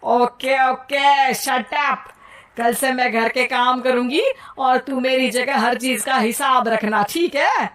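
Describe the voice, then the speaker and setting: A woman's voice: high voice, slow pace, fairly bright tone. A woman voicing a stubborn, proud middle-aged mother-in-law who scolds in a bossy way. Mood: scolding.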